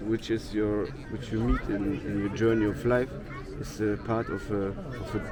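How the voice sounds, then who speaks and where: A man's voice: fairly low pitch, steady tone. A man in his thirties with a German accent, a vlogger chatting casually with strangers and searching for his words. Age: 30s. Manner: halting, searching for words, frequent restarts. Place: outdoors at a beach. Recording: outdoor crowd chatter. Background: crowd noise.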